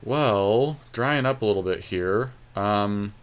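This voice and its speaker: A man with a fairly low voice gives casual game commentary. He thinks aloud as he weighs options, in the relaxed conversational style of a podcast co-host. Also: slow pace, smooth.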